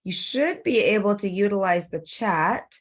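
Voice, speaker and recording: A woman's voice: medium pitch, animated, unhurried. A woman hosting a live webinar over a video call, chatty and upbeat, with frequent fillers and restarts. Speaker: webinar host.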